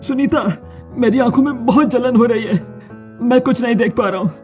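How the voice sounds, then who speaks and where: A distressed village man in a cartoon , played by a man. He is urgent and agitated, in a loud, mellow voice over faint music. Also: extremely high pitch, brisk. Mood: agonised, desperate. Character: distressed village men, alarmed and pleading. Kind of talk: Hindi cartoon story dialogue.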